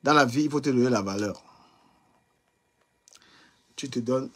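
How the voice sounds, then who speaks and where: A man's voice: mid-range voice, measured pace, breathy edge. A man commenting bluntly and mockingly on online gossip during a live stream.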